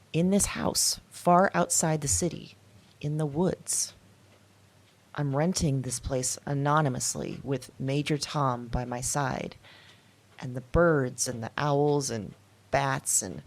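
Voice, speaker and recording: Audio drama lead: woman playing an older female outcast, afraid yet defiant. Deep register, confessional delivery in broken, emphatic phrases. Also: exaggerated voice. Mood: agitated, confiding.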